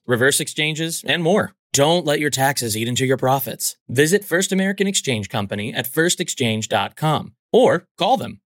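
A man reads a scripted ad with punchy sales energy. His voice is at a middle pitch and sounds dry and close to the mic. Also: a bit bright, no echo. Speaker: ad announcer. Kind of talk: scripted ad read.

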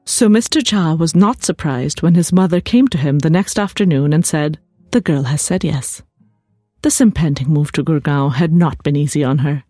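A woman reading her own literary fiction aloud as an author, with expressive narration. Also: deep voice, moderate pace, few pauses, no room sound, clean studio sound. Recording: music bed behind the voice. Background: composed background music.

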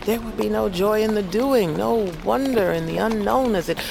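An actress playing an old healer witch and grandmother in a fantasy audio drama, wry and wise, speaking in measured, cryptic storytelling phrases. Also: mid-range voice, gravelly, noisy background, close to an everyday voice. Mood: musing. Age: older. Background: music behind the voice.

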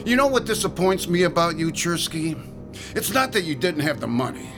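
Male thug for audio drama: taunting, mock-friendly menace, gritty creaky voice, very animated pitch. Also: moderate pace, music in the background. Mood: cold contempt. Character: menacing, sneering male extortionist thug, mocking bully. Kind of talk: modern audio drama acting.

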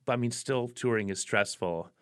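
A man's voice: medium pitch, moderate pace, clean tone. A man in his forties, a musician guest, talking casually in an interview with lots of fillers and restarts. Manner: rambling, frequent fillers and restarts.

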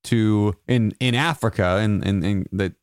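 A man's voice: lowish voice, clean tone, no echo, studio quality. A man hosting a podcast interview in a casual, joking, chatty way. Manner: joking, chatty, quick to laugh. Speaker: podcast host.

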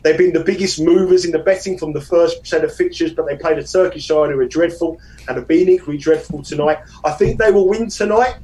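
A man with a strong South London accent, talking fast and joking as a guest on a casual podcast. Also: a little high, far from the mic, poor audio. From South London, England. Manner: fast-talking, jokey, animated. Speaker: guest betting pundit.

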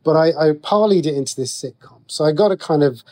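A man's voice: a little high, expressive, fast, warm tone. A man with a British accent talking as a guest writer in a conversational interview, explaining things in a chatty way.

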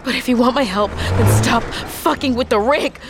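High-pitched, desperate woman trucker. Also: normal speed, gravelly. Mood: frantic, straining. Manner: breathless, strained, pleading. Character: desperate, foul-mouthed woman trucker stranded in danger. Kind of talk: audio fiction drama acting. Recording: noisy, ambience of vehicle.

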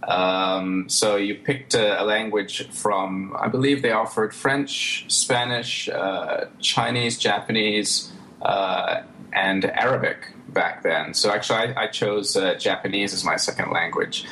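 A man from the United States speaks as a guest in a casual interview. His voice sits a little low and the delivery is relaxed and hesitant, with plenty of pauses and easy laughter. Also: vocal fry, slight background noise, poor audio.

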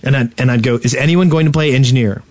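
A man's mid-pitched voice in casual podcast chat, recorded dry and close. He talks as a co-host, recounting things with exasperation and quoting people as he goes. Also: fast, darkish tone, dry recording.

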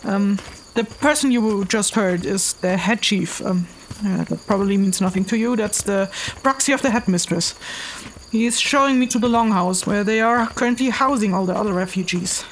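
A woman's voice: medium pitch, moderate pace, slight background noise, close to an everyday voice, poor audio. A woman playing a weary, hesitant traveling investigator in a fantasy audio drama, speaking matter-of-factly. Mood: hesitant, rambling. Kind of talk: fantasy audio drama, narrated log and dialogue.

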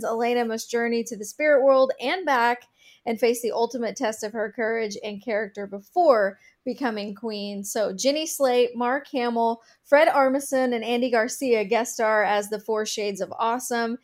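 A woman's voice: on the high side, fairly even intonation, moderate pace. Upbeat woman reading entertainment news. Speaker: podcast co-host.

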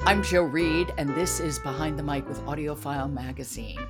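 A woman's voice: low-pitched, normal speed, loud music behind the voice. Woman hosting a book podcast. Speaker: podcast host. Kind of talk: casual conversational book discussion.

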